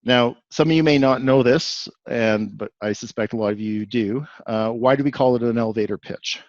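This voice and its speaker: A man's voice: mid-range voice, moderate pace, dry recording, highs cut off. A man from Canada with a Canadian accent giving a webinar presentation, rambling and restarting with lots of fillers.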